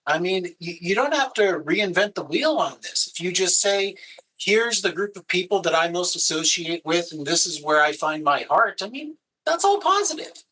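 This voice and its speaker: Bright-voiced man giving easy advice. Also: high-pitched, husky, rough recording. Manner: easygoing, conversational, gives practical tips. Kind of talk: casual advice conversation.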